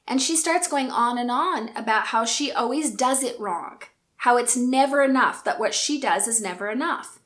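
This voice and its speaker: A woman in her forties telling a personal story in an earnest, reflective and gentle way. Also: fairly high pitch, moderate pace. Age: 40s. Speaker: speaker and coach.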